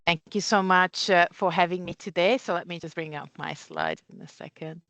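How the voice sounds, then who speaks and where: A woman's voice: lowish voice, steady tone, moderate pace, gravelly, a bit bright, no echo. A woman who speaks with a Hungarian accent gives an earnest presentation as a guest speaker, with fillers and restarts. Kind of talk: webinar presentation. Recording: muffled, lo-fi webinar audio.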